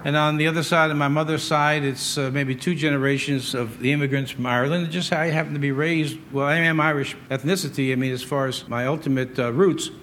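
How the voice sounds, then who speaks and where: American male preacher, slightly high voice. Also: fairly even intonation, a little fast, few pauses, fairly bright tone, slight background noise. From United States. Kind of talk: sermon, partly conversational with scripture readings.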